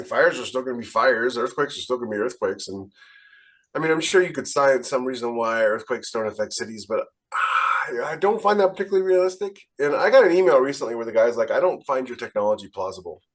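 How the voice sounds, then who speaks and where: Male veteran podcaster, mid-pitched, rambling and conversational, circles back on his phrases. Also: expressive, quick pace, fairly bright tone. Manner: rambling, repeats phrase starts. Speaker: podcast host, military veteran and sci-fi writer. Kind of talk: casual podcast conversation with anecdotes.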